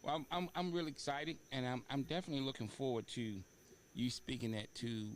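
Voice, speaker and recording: A man's voice: medium pitch, fairly even intonation, fairly quick, slight background noise. A man hosting a talk show, chatting in an unscripted way. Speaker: talk show host and stage actor.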